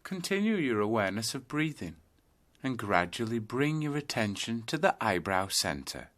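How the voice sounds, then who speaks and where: A man leading a guided yoga meditation, giving deliberate instructions phrase by phrase with pauses between them. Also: medium pitch, sing-song, a bit bright.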